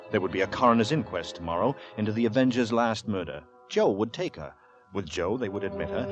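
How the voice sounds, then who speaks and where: A man narrating a 1940s radio suspense tale in a deliberate, ominous manner. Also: lowish voice, close to an everyday voice. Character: grave male narrator of a Victorian suspense tale. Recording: vintage 1940s radio drama, music underneath.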